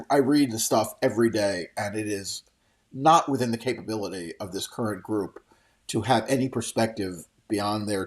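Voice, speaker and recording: A middle-aged man hosting a show, giving off-the-cuff solo commentary in a rambling, opinionated, casually profane way. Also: mid-range voice. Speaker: host of a daily show commenting on entertainment trade news.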